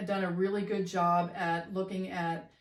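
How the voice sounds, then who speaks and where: A middle-aged woman co-hosting a casual chat, speaking in a measured, reflective, self-questioning way. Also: on the low side, little pitch movement. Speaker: co-host, mother. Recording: roomy, mic at a distance.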